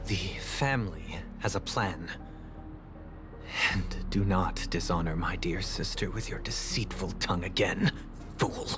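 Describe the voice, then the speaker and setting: A male actor playing a solemn, righteous young family leader in a video game, speaking formally and with measured, scripture-like phrasing. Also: low voice. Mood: cold disdain. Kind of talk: dramatic video game voice acting. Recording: music under the voice.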